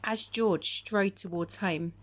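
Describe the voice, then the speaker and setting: A woman storyteller narrating a children's picture book in a measured, expressive way. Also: mid-range voice.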